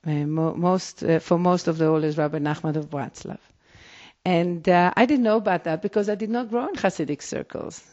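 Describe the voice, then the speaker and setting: A woman's voice: lowish voice, normal speed, darkish tone. Reflective Israeli woman rabbi, lo-fi. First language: Hebrew. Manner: reflective, with frequent restarts. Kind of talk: interview answers. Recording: dull lo-fi recording.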